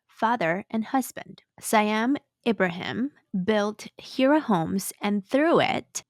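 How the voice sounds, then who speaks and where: A woman hosts an interview podcast in a mid-pitched voice. She slips some Spanish phrases into her English. The sound is very dry, with no room to it. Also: dry recording. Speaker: podcast host.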